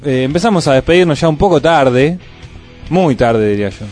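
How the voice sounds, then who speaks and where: A man with an Argentine accent co-hosting a community radio show, relaxed and teasing. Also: fairly high pitch, moderate pace, faint music behind. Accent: Argentine Spanish. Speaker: radio co-host. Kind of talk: casual radio chat.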